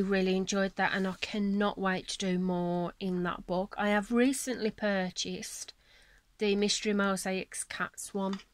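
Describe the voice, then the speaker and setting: A woman hosting a colouring channel, chatting casually at her table at home, her voice croaky from a cold and her talk apologetic and rambling. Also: mid-range voice, no echo. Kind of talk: casual chat showing colouring books. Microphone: camera on a selfie stick above the table.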